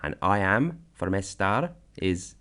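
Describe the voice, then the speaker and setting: A man from Britain narrating a slideshow grammar lesson, explaining slowly and deliberately. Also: low-pitched, big pitch swings, fairly bright tone. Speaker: language teacher.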